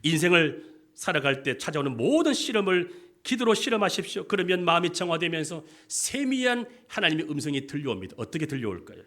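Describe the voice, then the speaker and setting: A man's voice: fairly high pitch, big pitch swings, fast talker, fairly bright tone. A male senior pastor preaching a sermon with passion, asking the congregation questions and having them repeat after him. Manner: impassioned preaching with call-and-response questions.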